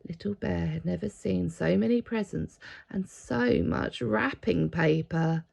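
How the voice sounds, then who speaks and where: A woman's voice: on the low side, unhurried, vocal fry, a little mellow, husky. A woman reading a children's picture book aloud expressively, with dramatic emphasis on key words. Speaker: storybook reader.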